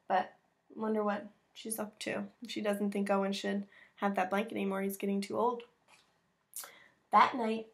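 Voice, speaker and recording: A woman's voice: mid-range voice, fairly bright tone. An American woman in her thirties reading a picture book aloud to children, expressively, with chatty and simply worded asides, recorded in a room at home. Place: small room at home with bookshelves.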